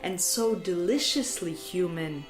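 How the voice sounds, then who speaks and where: Woman, echoey sound, soft music bed, poetic storytelling, solemn deliberate delivery, dramatic phrasing. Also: fairly low pitch, expressive. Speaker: storyteller, ceremony narrator. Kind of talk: poetic scripted narration with literary quotations.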